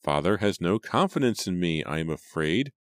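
A man's voice: low voice, sing-song, normal speed, no echo, voice pushed up. Storybook role: man as sensitive young daughter, plaintive and unsure of herself, dry recording. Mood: sad. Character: sensitive, insecure young woman, elder daughter.

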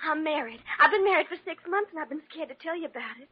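Very high, emotional young daughter. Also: female voice, lively intonation, quick pace. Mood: tearfully happy. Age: young adult. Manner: tearful, breathless pleading. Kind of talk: 1940s radio drama acting. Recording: dull lo-fi old radio recording.

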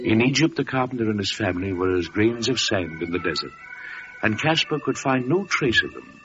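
A man's voice: fairly low pitch, animated, a little fast. A man narrating a story solemnly in the style of old-time radio drama. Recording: muffled vintage radio recording with music.

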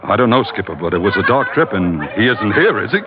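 An actor playing a rough, loyal, grumbling first mate with a slangy, sardonic manner in an old-time radio drama. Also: male voice, fairly low pitch, big pitch swings, quick pace, over music, crowd noise. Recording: noisy, muffled old radio recording.

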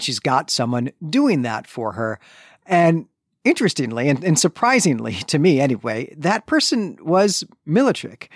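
A man's voice: on the high side, no room sound. Thoughtful man hosting a literary podcast, dry recording. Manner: thoughtful, halting, restating phrases. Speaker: podcast host. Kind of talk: conversational literary analysis and recap.

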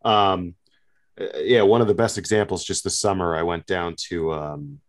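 A man's voice: deep voice, steady tone, normal speed. A man hosting a casual interview, speaking politely and conversationally. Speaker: podcast host.

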